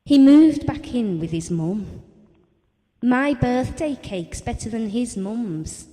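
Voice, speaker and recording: Wry, confiding wife, lively intonation. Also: female voice, a little high, takes it slowly, big-room sound. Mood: defensive, wry. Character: candid, self-deprecating, bereaved wife reminiscing about her marriage. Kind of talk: intimate audio drama monologue.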